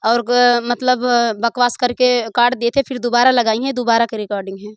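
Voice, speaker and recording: Female interviewee, high-pitched, dry sound, conversational, halting, repetitive phrasing. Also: level tone, clean tone, no room sound. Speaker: interviewee, folk singer.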